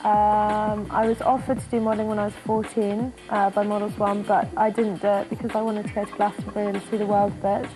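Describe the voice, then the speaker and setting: A nineteen-year-old woman talks in an offhand, hesitant way. Her delivery stays flat, with little rise and fall. Music plays clearly underneath her. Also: mid-range voice, brisk, hardly any pauses, poor audio. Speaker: model, reality show housemate. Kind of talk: casual interview.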